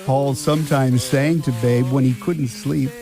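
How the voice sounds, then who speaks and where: A man's voice: medium pitch, steady tone, unhurried. A man narrating a scripted family radio drama in a storybook style.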